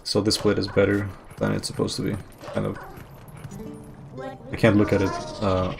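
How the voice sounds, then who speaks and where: A non-native English-speaking man gives loose, self-correcting commentary while streaming a speedrun. His voice is low and creaky with almost no melody to it. Game music plays clearly underneath. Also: moderate pace. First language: not English. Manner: monotone, rambling, self-correcting. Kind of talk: casual live-stream commentary. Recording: game music playing behind.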